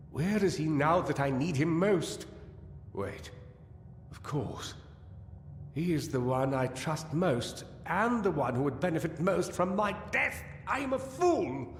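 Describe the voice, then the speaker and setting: An actor playing a weary, guilt-ridden, paranoid gnome scholar in a fantasy video game, theatrical and anguished, halting as he muses. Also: mid-range voice, slight background noise. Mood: fearful realisation. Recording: echoey cavern-like reverb over music.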